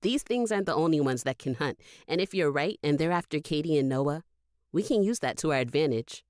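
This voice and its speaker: A brash, cocky ghost hunter, a woman with a low voice. Her delivery is sardonic and very lively in pitch. The sound is close and dry, like a studio audio drama. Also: fairly quick, dry recording. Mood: brash, defiant.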